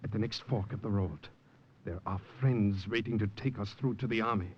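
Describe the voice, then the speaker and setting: An actor playing a confident, insistent partisan leader in a 1950s radio drama, speaking with brisk assurance. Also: male voice, fairly low pitch, close to an everyday voice. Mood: urgent, assured. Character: urgent, confident partisan leader rallying a rescued spy. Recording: muffled noisy vintage radio recording.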